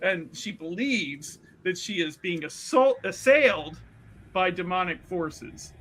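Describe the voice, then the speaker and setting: A tabletop roleplaying player casually improvising narration of a character's actions, animated and giggly, often rephrasing midway. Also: medium pitch, slow pace. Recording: clear background noise.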